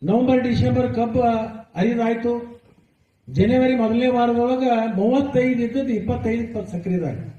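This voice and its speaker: A man's voice: very high-pitched, a little fast, warm tone. A man with a North Karnataka accent giving an emphatic, explanatory public speech. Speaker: speaker at a public meeting. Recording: muffled, echoey public-address sound, distant mic.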